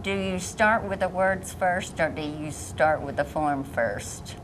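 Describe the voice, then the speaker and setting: A woman interviewer asks a poet about her craft, warm and encouraging as she goes. Her voice is slightly low. Faint background noise sits under the recording. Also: takes it slowly. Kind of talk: interview questions.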